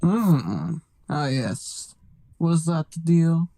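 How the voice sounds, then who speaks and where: A man voicing a monstrous, bloodthirsty bat devil with a slow, theatrical delivery in an anime fan dub. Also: on the high side, sing-song, dark tone, voice pushed up, exaggerated voice. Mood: imperious.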